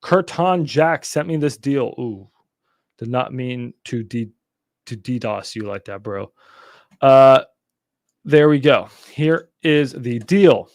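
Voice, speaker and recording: A young man hosting a live stream on real estate wholesaling, talking casually to his chat and getting excitable and exasperated at times. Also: mid-range voice, takes it slowly, no room sound, clean studio sound. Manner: excitable, swears when exasperated, talks to chat. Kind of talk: casual livestream teaching.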